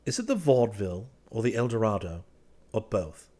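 A male narrator playing a smitten young gentleman, flustered, protesting and easily wounded, in a storybook reading. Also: on the low side, big pitch swings, fairly quick. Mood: adoring. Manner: protesting, hesitant, emphatic.

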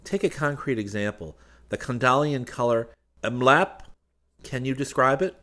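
A man's voice: medium pitch, normal speed, fairly bright tone, pitched above the performer's own voice, natural voice. A man voicing a confident, plain-spoken adventurer in an audiobook, with a wry, folksy manner. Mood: explaining. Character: confident, breezy adventurer man who explains things.

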